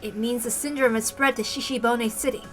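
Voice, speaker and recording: Urgent, serious soldier, acted by a woman, bright forceful tone, music behind. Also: a little high, steady tone, brisk, natural voice. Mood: grave. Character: grave, urgent military man facing disaster. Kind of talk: dramatic visual novel read-aloud.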